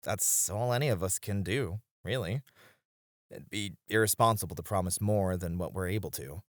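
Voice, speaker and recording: A man's voice: low-pitched, normal speed, no room sound, studio quality, close to an everyday voice. A man voicing a supportive, playful lounge host in an ASMR roleplay, reassuring and earnest with his colleague. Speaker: voice actor.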